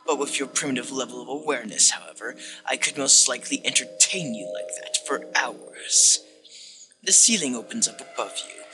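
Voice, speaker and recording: A man voicing a hateful, condescending machine-like game narrator, delivered as a dramatic reading with deliberate disdain. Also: mid-range voice, lively intonation, natural voice, poor audio. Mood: cold, contemptuous. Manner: dramatic, disdainful, deliberate second-person address. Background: music.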